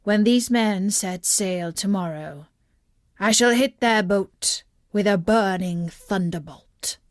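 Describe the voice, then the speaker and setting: A woman plays a commanding male ruler of the gods in a storybook myth. He pronounces judgment slowly, with stern and solemn authority. The sound is dry and close. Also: mid-range voice, no echo, lightly acted.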